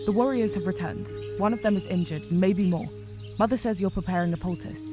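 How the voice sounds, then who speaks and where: A woman's voice: mid-range voice, a little fast, music in the background, close to an everyday voice. A woman voicing an earnest young female rabbit apprentice healer in a fantasy game, polite and restrained. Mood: polite, urgent. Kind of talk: visual novel voice acting.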